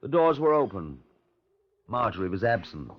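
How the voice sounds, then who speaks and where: An actor playing a courteous, wry Scotland Yard inspector, narrating his case in a measured radio crime drama style. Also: lowish voice, very animated, a little hiss or hum. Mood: brooding, deliberate. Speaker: detective narrator. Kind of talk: 1950s radio crime drama, first-person narration and dialogue. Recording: dull, muffled 1950s radio recording.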